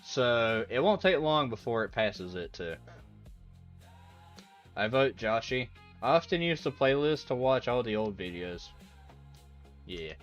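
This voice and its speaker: A man's voice: fairly low pitch, a bit bright. A young man chatting casually with his livestream viewers, rambling and laughing easily. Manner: rambling, laughs easily, reads out chat messages. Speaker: streamer. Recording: dull lo-fi audio with faint background music.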